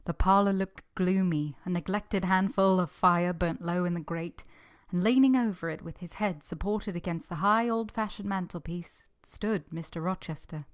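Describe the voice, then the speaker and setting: A woman's voice: fairly low pitch, normal speed, lightly acted. A woman playing an earnest, tender former governess, acting and narrating her own story in a radio drama. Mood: reflective. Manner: earnest, gentle, heartfelt.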